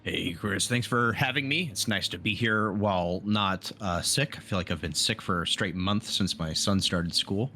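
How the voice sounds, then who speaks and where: A man's voice: low voice, normal speed, hardly any pauses, sharp tone, slight background noise. A man chatting casually as a tabletop game player, with a laid-back, hesitant delivery. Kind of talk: casual chat.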